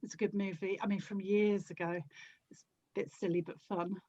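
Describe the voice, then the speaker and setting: A woman's voice: mid-range voice, flat delivery, moderate pace, mellow. British woman, casual chat, slightly dull sound. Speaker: podcast guest, audio drama actor and producer.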